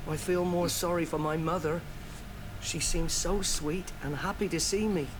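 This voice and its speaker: An actor playing a frank young captive sailor in a video game, plain-spoken and resigned. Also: male voice, on the high side, steady tone, moderate pace, natural voice. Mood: frank resignation. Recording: clear background ambience noise.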